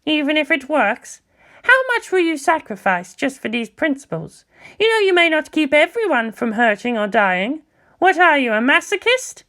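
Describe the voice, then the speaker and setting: A woman's voice: high-pitched, big pitch swings, normal speed, pushed and bright, full character voice. A woman voicing an old male monk, a sardonic teacher who asks pointed, challenging questions in a dramatised story. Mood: pointed questioning. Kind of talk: dramatised fan fiction reading.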